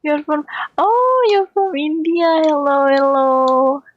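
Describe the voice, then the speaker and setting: A woman from Indonesia, streaming a game and chatting with viewers, speaking slowly and haltingly with a strained, hurt voice. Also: high-pitched, no echo, lo-fi sound. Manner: slow, halting, repeats words. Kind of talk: casual gameplay chat with viewers.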